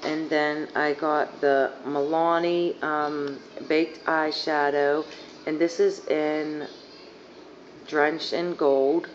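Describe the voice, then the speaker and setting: A young woman with a hoarse, fading voice rambling casually through a makeup haul, full of fillers, filmed on a phone on her living room couch. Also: sits low, level tone, extremely slow. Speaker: beauty vlogger. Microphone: phone camera at arm's length. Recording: dull lo-fi phone audio, faint hiss.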